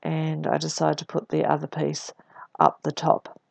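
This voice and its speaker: Husky woman with a croaky cold voice. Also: completely flat delivery, moderate pace, a little mellow, no room sound. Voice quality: deep and husky. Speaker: scrapbooking vlogger. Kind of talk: relaxed voice-over narration of crafting.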